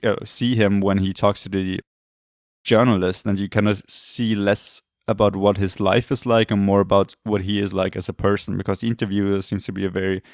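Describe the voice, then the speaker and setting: A slightly hoarse man co-hosting a podcast, talking in a rambling, hesitant way and often correcting himself. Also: fairly low pitch, little pitch movement, a little fast, a little mellow, dry recording, clean studio sound. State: losing his voice, throat clearing. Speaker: podcast co-host. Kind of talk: casual chat.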